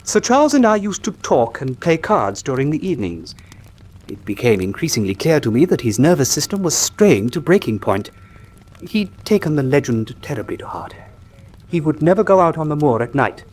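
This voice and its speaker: An actor playing an earnest country doctor who tells a grim tale gravely and articulately in a radio drama. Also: male voice, a little high, sing-song, moderate pace, a little mellow. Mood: confiding.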